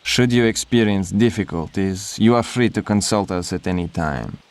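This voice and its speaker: A man plays a suspicious Polish army officer in a game cutscene. His voice is low, and he speaks curtly and with stiff formality, severe and wary. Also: normal speed, lightly acted. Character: distrustful, severe Polish army major, escape leader. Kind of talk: video game cutscene acting.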